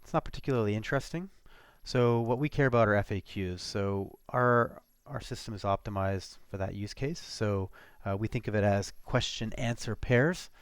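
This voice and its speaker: Low-voiced man with a creaky edge. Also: dry recording. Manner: casual, halting, thinking aloud. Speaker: software demo presenter. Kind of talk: informal screencast product walkthrough.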